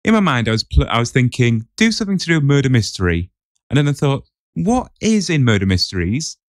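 A man's voice: medium pitch, sing-song, a little fast, no echo, clean studio sound. A man on a podcast setting a quiz, chatting casually and reading riddles aloud.

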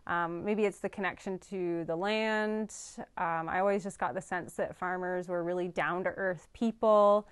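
A woman's voice: fairly low pitch, fairly even intonation, a little slow, smooth. A young woman farm veterinarian answering interview questions thoughtfully, with frequent ums and restarts, seated in a studio. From Canada. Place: studio with dark backdrop.

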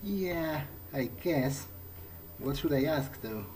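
A man's voice: a little high, lively intonation, slow, a little mellow, slight background noise. A man doing in-character roleplay for a game playthrough, casually and hesitantly musing aloud. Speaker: gameplay video creator.

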